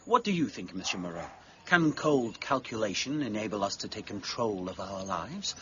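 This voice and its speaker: An actor playing an earnest, excitable young gentleman artist in a radio drama, courteous and loyal. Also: fairly low pitch, moderate pace. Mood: questioning. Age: young adult. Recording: dull lo-fi audio with faint noise.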